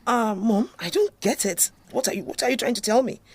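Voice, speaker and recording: A woman's voice: a little high, fairly quick, slight background noise, lightly acted. A young woman playing an emotional, incredulous Nigerian student daughter in an audio drama. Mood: shocked, hurt.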